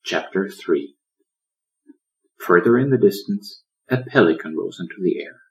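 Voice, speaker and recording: A man narrating a children's story as an audiobook, in a dramatic, expressive storyteller's manner. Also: low-pitched, unhurried, rough recording. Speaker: author reading own audiobook.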